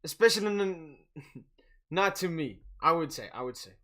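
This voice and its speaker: A man's voice: on the high side, expressive, normal speed, fairly bright tone. A young man from Sweden and Algeria hosting a football watch-along in casual, boastful, jokey chat. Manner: boastful, jokey, prone to chuckling. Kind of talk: casual livestream chat, match commentary.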